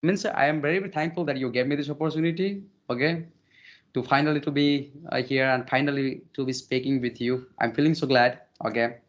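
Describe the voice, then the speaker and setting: A man's voice: mid-range voice, fairly quick, highs cut off. Polite Indian man, many fillers. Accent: Indian English. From Odisha, India. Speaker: interview guest, English learner. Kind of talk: casual conversational interview.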